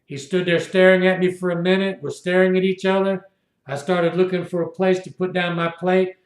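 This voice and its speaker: High-voiced man in his sixties, echoey room. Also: flat delivery, a little fast. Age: 60s. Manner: indignant, repetitive storytelling. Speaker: video blogger. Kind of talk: rambling first-person monologue.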